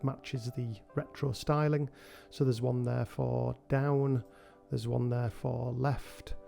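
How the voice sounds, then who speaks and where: A man with a cold hosting a relaxed software tutorial, talking through each step with frequent ums. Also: mid-range voice, gravelly. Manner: relaxed, thinking aloud with many ums. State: has a cold. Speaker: game developer tutorial host. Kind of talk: screen-recorded software tutorial.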